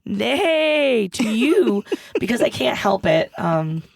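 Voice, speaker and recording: A woman co-hosting a podcast in casual chat, with a hoarse, froggy voice, chatty and laughing often. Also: a little high, very animated, slow, no echo, studio quality. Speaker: podcast co-host.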